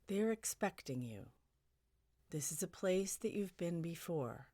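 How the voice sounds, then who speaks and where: A low-voiced woman narrates a guided meditation in a close, dry recording. She offers soothing, gentle suggestions at a very unhurried pace, leaving long pauses between phrases. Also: expressive, no echo.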